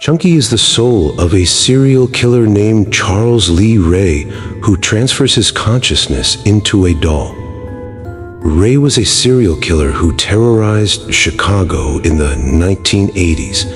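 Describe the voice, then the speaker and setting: Deep-voiced man narrating with reverb. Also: lively intonation, measured pace, faint music behind. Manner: stumbles over names and words. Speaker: narrator of a film backstory. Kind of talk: read-aloud narration.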